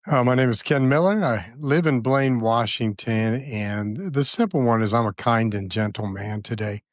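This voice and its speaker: A man in his sixties talking as a podcast guest, earnest and measured, with many fillers. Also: medium pitch, no echo, clean studio sound. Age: 60s. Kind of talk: reflective conversational interview.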